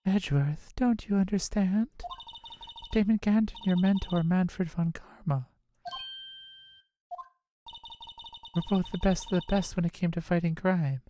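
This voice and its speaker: A woman's voice: fairly low pitch, normal speed, warm tone, slight background noise, natural voice. A woman voicing a composed, earnest female chief prosecutor in a fan dub of a video game. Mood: earnest, reassuring. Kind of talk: fan voice acting of a visual novel game.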